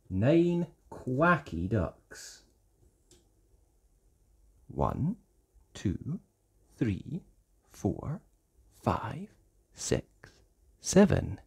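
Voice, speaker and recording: A host reading a counting picture book aloud to young children in a sing-song, exaggerated way. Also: medium pitch.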